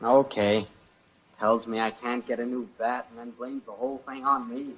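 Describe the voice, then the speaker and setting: An actor playing a whiny, defensive adolescent son in an old-time radio comedy. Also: male voice, medium pitch, flat delivery, normal speed, a bit bright, slightly rough. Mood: grumbling. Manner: defensive, grumbling. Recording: dull old radio recording.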